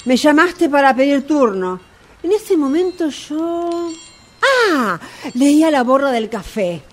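An actress with an Argentine Rioplatense accent playing a patronising, easily exasperated fortune-teller in a radio play, with emphatic, repetitive replies. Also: female voice, high-pitched, sing-song, slow pace, heavily acted. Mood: attentive, reacting. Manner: theatrical, reactive, emphatic repetition.

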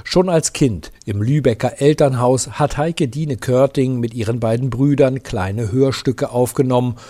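A male reporter narrates a scripted radio feature. His voice is medium in pitch, with a soft, mellow tone.

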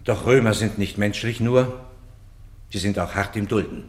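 An actor playing a dignified, defeated Roman general, speaking in noble, measured verse in a radio play. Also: male voice, on the low side, natural voice. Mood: stoic, proud. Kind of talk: classical verse radio drama acting. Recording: echoey, roomy studio sound.

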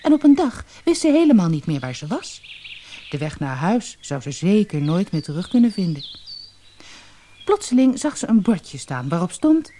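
A woman storyteller giving an expressive, scripted fairy-tale narration. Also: lowish voice, normal speed, slight background noise.

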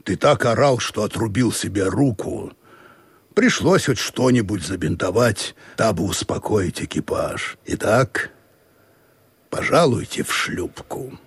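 Very raspy, swooping sea captain. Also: male voice, lowish voice, lively intonation, dry recording, exaggerated voice. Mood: dry, courteous. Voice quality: very husky, raspy. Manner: measured, courteous, with sudden barked orders. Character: unflappable, commanding ship's captain, gruff yet philosophical. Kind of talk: radio play acting.

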